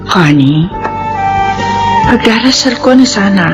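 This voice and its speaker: A woman's voice: mid-range voice, animated, slow pace. An actress playing a caring, sympathetic female friend in a radio drama, with a Filipino accent. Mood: concerned. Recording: loud music under the voice.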